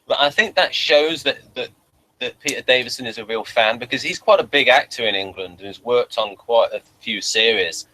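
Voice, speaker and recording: A man's voice: medium pitch, normal speed, sharp tone, rough recording. A man chatting casually as a podcast host and reviewer, opinionated and often doubling back on his phrases. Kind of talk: casual chat.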